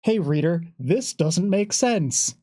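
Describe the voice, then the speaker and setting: A man's voice: high-pitched, lively intonation, slow pace, dark tone, no echo, studio quality. A man talking casually as a game designer on a discussion panel.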